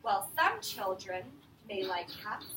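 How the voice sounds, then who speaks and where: A woman teacher reading a picture book aloud to young children and asking them questions, in an expressive, encouraging, patient manner. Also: medium pitch, slow pace. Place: indoor room with a group of young children. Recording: roomy, distant mic, faint background noise.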